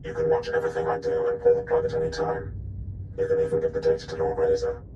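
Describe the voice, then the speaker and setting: An actor playing a secretive, guarded male handler in a cinematic video game, speaking in a hushed way. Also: very high-pitched, completely flat delivery, fast, gravelly, dull audio, slight background noise, full character voice. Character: secretive male handler, guarded self-described invisible friend. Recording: roomy echo with music behind.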